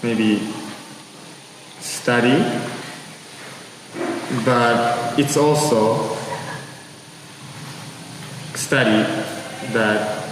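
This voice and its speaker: A young man in his twenties from Japan, an exchange student, giving a halting, earnest and pleading address to listeners. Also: mid-range voice. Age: 20s. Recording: echoey hall with long reverb and steady background noise.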